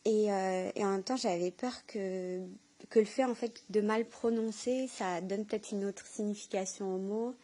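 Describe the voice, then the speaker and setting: A woman shares a personal testimony in a soft-edged, mellow voice at a medium pitch. She speaks earnestly and haltingly, often pausing to search for the next word. The delivery is unscripted and intimate. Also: steady tone. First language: French. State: emotional, tearful with joy.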